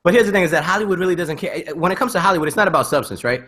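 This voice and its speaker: A man hosting a casual panel discussion, opinionated and animated, checking in with rhetorical questions over a video call. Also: high-pitched, very fast. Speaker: podcast host.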